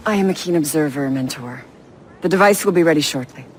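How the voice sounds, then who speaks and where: A man's voice: a little high, a little fast, a little hiss or hum, close to an everyday voice. A man playing a self-assured member of a secret order in a video game, confident and formal. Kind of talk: dramatic video game acting.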